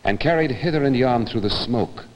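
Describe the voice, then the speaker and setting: A man's voice: mid-range voice, expressive, normal speed, poor audio. A man giving a solemn, declamatory dramatic recitation of poetry. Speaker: actor, reader.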